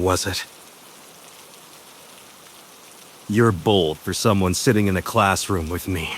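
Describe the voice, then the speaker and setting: Male actor as confident, possessive professor for intimate ASMR roleplay. Medium pitch, smug, teasing, commanding, deliberate pauses. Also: a little hiss or hum, natural voice. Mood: seductive, daring.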